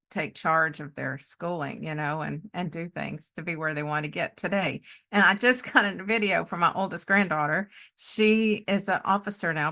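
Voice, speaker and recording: A middle-aged woman hosting a podcast in a casual, animated chat, often breaking into easy laughter. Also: fairly low pitch. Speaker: podcast host.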